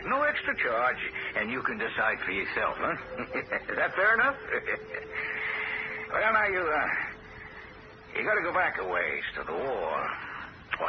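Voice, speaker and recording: An actor playing a folksy, rambling old small-town American real estate salesman in a jovial radio drama style. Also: medium pitch. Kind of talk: vintage radio drama acting. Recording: muffled, noisy old radio broadcast.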